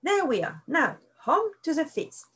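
A clever father fox, cheerful and brisk, urging his family on with a lively lilt, as a woman reads him. His pitch rides high and swoops. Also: exaggerated voice.

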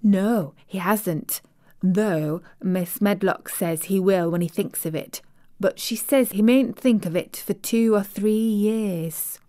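A woman's voice: medium pitch, slow, lightly acted. A woman voicing a cheerful, chatty Yorkshire servant girl in broad dialect, for an audiobook. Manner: broad dialect, lively and excited.

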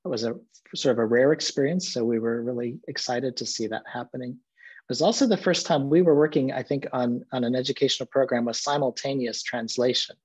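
A man's voice: mid-range voice, brisk, a little mellow, husky. A middle-aged man, a seminary president, telling stories in an earnest, reflective way during a video-call interview from his office. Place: office with bookshelves. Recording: muffled video-call audio.

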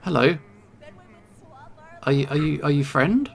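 Let's play streamer, mid-range creaky voice, nervous self-talk. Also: mid-range voice, animated, a little slow, a faint crowd. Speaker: video game streamer.

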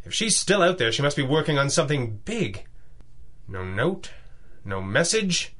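An actor playing a thoughtful masked pulp detective hero in a radio drama, musing aloud deliberately. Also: male voice, on the high side, very animated, a bit bright. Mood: puzzled musing.

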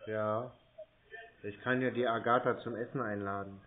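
A man's voice: fairly low pitch, steady tone, natural voice. A man putting on a gullible, befuddled old man on a phone call, naive, hesitant and agreeable. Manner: naive, hesitant, slow to understand. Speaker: prank caller toying with a phone scammer. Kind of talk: phone call, short replies. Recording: tinny, band-limited phone line.

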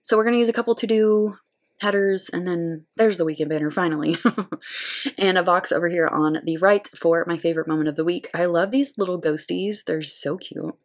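A woman's voice: on the low side, moderate pace. A woman in her thirties hosting a planner channel, chatting casually and upbeat while setting up a weekly spread. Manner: chatty, upbeat, laughs often. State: voice lower than usual today. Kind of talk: casual plan-with-me voice-over.

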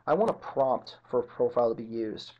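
A man narrating an informal software tutorial as an instructor, explaining each step methodically. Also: mid-range voice, expressive, a little fast. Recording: dull lo-fi computer screen-recording audio.

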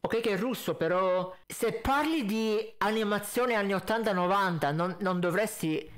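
A man's voice: high voice, normal speed, fairly bright tone. Blunt young man giving critical commentary. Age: 20s. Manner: blunt, pedantic, sarcastic. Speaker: reaction video host. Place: indoor home streaming setup. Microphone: close desk condenser mic with pop filter.

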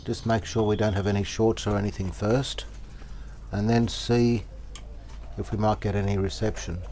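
A man giving casual, unhurried commentary as he works, thinking aloud. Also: fairly low pitch, flat delivery, mellow. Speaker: host, radio restorer. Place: small cluttered indoor workshop. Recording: clear background noise, home workshop.

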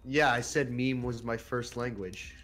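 A man's voice: medium pitch, a little slow, fairly bright tone. A man joking sarcastically and swearing in a casual gaming voice chat. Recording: faint game music behind.

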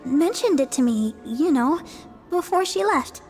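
A woman's voice: sits high, expressive, a little slow, a little mellow, soft background music. A woman voicing a sweet, caring young church healer in an anime video game, earnest in manner. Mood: worried.

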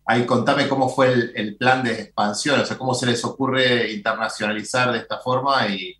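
A man's voice: medium pitch, fairly even intonation, normal speed, fairly bright tone, echoey room. A middle-aged man with an Argentine accent hosting an interview over a video call, asking long, rambling questions with repeated words. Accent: Rioplatense Spanish. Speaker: TV show host. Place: indoor studio with branded backdrop. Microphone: headphones with video call.

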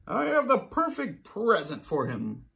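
Man as a curious, helpful storybook bear, pitched up. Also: wildly swooping pitch, measured pace, creaky, a bit bright, exaggerated voice. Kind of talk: children's storybook read-aloud.

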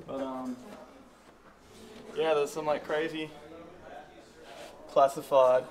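Enthusiastic young man, slightly high voice. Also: little pitch movement, slow, crowd noise. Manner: enthusiastic, slangy. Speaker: surfboard factory tour guide. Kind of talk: casual chat. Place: indoor surfboard factory.

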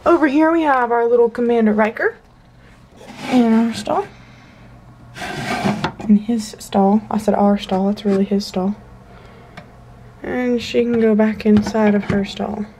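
A woman's voice: fairly high pitch, fairly even intonation, unhurried, long pauses, dark tone, slight background noise, poor audio. A teenage girl casually filming a tour of her toy horse collection in her bedroom, chatty and gushing with lots of fillers. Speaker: vlogger. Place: bedroom at home. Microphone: handheld camera mic.

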